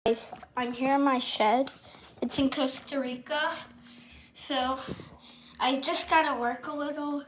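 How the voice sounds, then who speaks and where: A young man playing an anxious shed worker in an amateur horror roleplay, halting and pleading, on a noisy amateur microphone. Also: extremely high pitch, steady tone, a bit bright, roomy sound. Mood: nervous, pleading.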